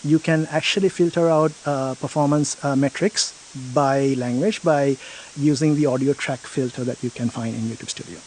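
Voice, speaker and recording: A man's voice: medium pitch, fairly even intonation, normal speed, a little mellow, slight background noise, rough recording. A man in an interview explaining a product feature step by step, often checking in with "right?".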